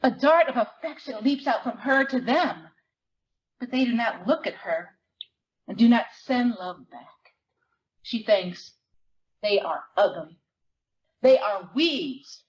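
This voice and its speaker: A woman reading literary fiction dramatically, in measured, deliberate phrases with weighted pauses. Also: a little high, lo-fi sound. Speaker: dramatic reader at a library performance. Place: live reading at a library event.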